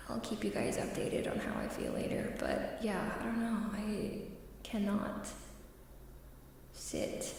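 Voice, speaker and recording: A woman streamer chatting softly in ASMR style, often slipping into a breathy whisper, hesitant and sounding unwell. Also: medium pitch, wildly swooping pitch. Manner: slow, hesitant, halting, apologetic. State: unwell, queasy. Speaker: live streamer. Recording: very echoey, distant, faint background noise.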